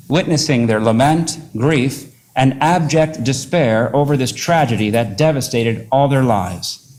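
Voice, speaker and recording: A man, a pastor, reading a formal character statement to a court in a measured, earnest way. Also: mid-range voice, darkish tone.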